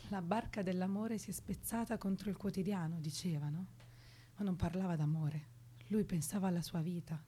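A woman's voice: fairly low pitch, moderate pace, warm tone, husky. An actress playing a guarded, restless young woman activist in a radio drama, speaking in an intimate, colloquial way that moves between teasing and earnest. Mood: weary, defiant. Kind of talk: naturalistic Italian radio drama dialogue.

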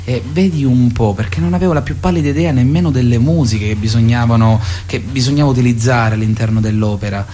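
A man's voice: medium pitch, fast talker, few pauses, dark tone. A man speaking spontaneously in a radio interview as a theatre writer and director, often hesitating as he searches for words. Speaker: theatre author, director and actor.

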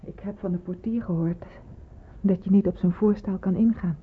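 An actress playing a concerned senior nurse in a radio drama, measured and gently questioning. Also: female voice, medium pitch, steady tone, breathy edge. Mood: concerned, probing. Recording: muffled, narrow-band old radio play.

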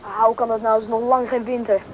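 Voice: mid-range voice, level tone, fast talker, close to an everyday voice. A performer playing a stubborn, carefree young boy with a questioning, whiny manner in a radio drama. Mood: stubborn, sulky. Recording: noisy old radio play recording.

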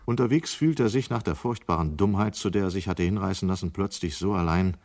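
A man narrating a radio play as a sober storyteller, measured and matter-of-fact. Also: sits low, darkish tone. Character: sober male storyteller of a dystopian tale.

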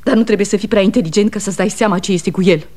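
A woman's voice: mid-range voice, darkish tone, close to an everyday voice. An actress playing a weary, fiercely loyal aging wife and mother, pleading and reproachful, in 1960s radio theatre style. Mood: indignant grief.

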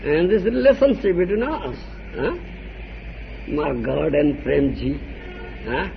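A man's voice: on the high side, animated, takes it slowly, vocal fry. A man giving a devotional lecture, often asking "huh?" and acting out little exchanges. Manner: asks "huh?" often, acts out little dialogues, chuckles. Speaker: spiritual teacher. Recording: dull lo-fi archival tape with faint hiss.

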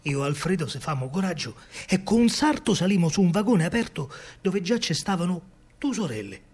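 An actor playing an excitable working-class man with a Roman accent and a husky voice, recounting wartime scavenging in a colloquial theatrical monologue. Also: high voice, a little fast, full character voice. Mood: excited. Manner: animated storytelling, colloquial.

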